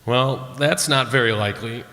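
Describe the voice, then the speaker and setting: A man's voice: mid-range voice, moderate pace, pushed and bright. A man playing a stubborn, lovesick American naval commander in a radio play, earnest and plainspoken. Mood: puzzled, uneasy. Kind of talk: radio drama acting. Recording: echoey hall with long reverb.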